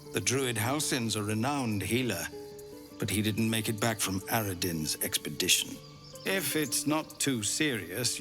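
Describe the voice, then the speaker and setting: An actor playing a weary middle-aged leader of refugees, speaking in a grave, pleading way. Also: male voice, medium pitch. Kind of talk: video game dialogue. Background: game music.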